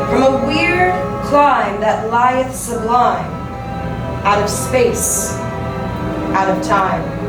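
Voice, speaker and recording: A woman giving a solemn, deliberate and theatrical dramatic reading of poetry. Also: a little high. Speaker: poetry reader. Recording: roomy, distant voice over music. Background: music.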